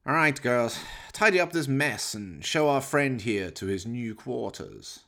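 A man's voice: mid-range voice, expressive, unhurried, fairly bright tone. A man playing a sinister, gloating doctor in his fifties in a radio play, with pompous, theatrical and precise delivery. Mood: brisk. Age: 50s. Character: sinister, gloating middle-aged mad scientist doctor. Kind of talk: radio play villain acting.

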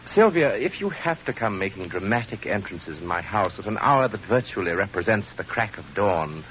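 A pompous, swooping, sardonic aristocrat. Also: male voice, lowish voice, big pitch swings, moderate pace, fairly bright tone. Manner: pompous, florid, sneering diction. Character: arrogant, sardonic aristocratic man, theatrical and insulting. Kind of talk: old radio drama acting. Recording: muffled old radio recording, faint noise.

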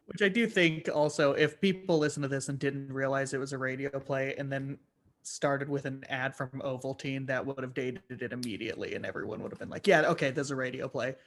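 A man's voice: mid-range voice, steady tone, fairly quick, a bit bright, slight background noise. A man chatting casually in a group with a wry, joking manner. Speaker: improv comedian on a podcast.

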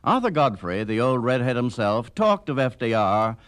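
A man's voice: medium pitch, sing-song, moderate pace, fairly bright tone. A man reading scripted history narration for a radio documentary.

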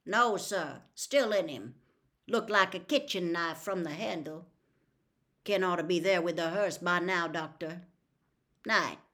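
A blunt, insistent small-town sheriff, as a woman reader puts him on, stubborn and drawling. He speaks louder and slower than the narration around him, with plain country phrasing. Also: on the low side. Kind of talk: audiobook dialogue reading.

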